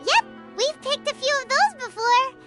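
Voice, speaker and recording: An extremely high, childlike fairy companion for a video game. The delivery is excitable and feisty, swinging widely in pitch, with prominent music underneath. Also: female voice, normal speed. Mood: chirpy, proud. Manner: childlike, refers to self in third person.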